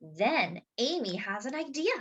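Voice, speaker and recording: A woman reading a picture book aloud to young children as a storytime host, expressively, with drawn-out words and little asides to her listeners. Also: on the high side, very animated, a bit bright, husky, muffled recording.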